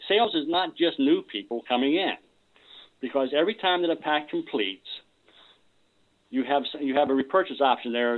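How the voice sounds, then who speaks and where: Male business presenter on a conference call, narrow phone sound, explanatory and unscripted, restarting phrases as he goes. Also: medium pitch. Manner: halting, repeats words when starting sentences. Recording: tinny phone-line conference call audio.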